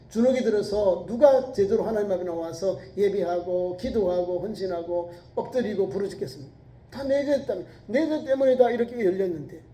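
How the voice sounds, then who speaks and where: A middle-aged pastor with a regional Korean accent preaching a sermon, asking the congregation rhetorical questions and rising to near-shouts when quoting scripture. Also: male voice, very high-pitched. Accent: Korean regional dialect. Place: church sanctuary. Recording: echoey church sanctuary.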